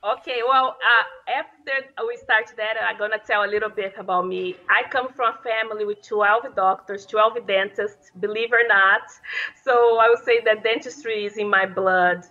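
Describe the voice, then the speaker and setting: A woman's voice: mid-range voice, fairly even intonation, moderate pace, sharp tone, muffled recording. A woman in her thirties from Brazil with a Brazilian Portuguese accent, a Portuguese native speaker, earnestly answering interview questions as a dental consultant. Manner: earnest, slightly non-native phrasing, laughs easily. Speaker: guest, dental hygienist and consultant. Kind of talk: interview conversation.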